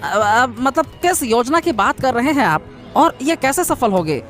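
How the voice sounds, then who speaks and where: Cartoon role for a voice actor: submissive male village healer, high pitch, fearful stammer, hesitant delivery. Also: very animated, unhurried, a bit bright, soft background music, full character voice. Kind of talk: Hindi cartoon story voice acting.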